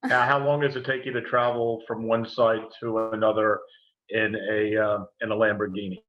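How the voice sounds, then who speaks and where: A man co-hosting an interview over a video call, asking questions in a halting, hesitant way with many fillers. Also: lowish voice, flat delivery, highs cut off. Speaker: co-host.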